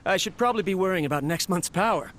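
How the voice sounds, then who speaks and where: Brief: weary, slightly high-voiced game researcher, lonely and self-reproaching. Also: male voice, expressive, fast, a bit bright, slight background noise. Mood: bitter. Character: lonely, worn-out male machine researcher. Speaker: scientist recording tape logs. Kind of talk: video game voice acting.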